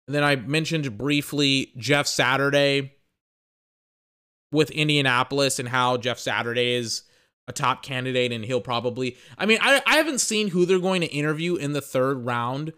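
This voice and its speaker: A man's voice: medium pitch, normal speed, bright, forceful tone, clean tone. A young man hosting a sports podcast, rambling and emphatic in casual commentary, restarting phrases and swearing, a little tired.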